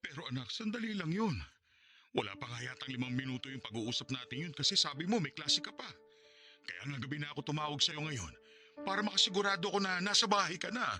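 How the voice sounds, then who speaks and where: A remorseful, middle-aged Filipino father in a radio melodrama. He pleads in a quick, highish, sharply tense voice, with faint music underneath. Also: expressive, pushed and bright. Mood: apologetic. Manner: apologetic, pleading. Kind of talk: Filipino radio drama acting.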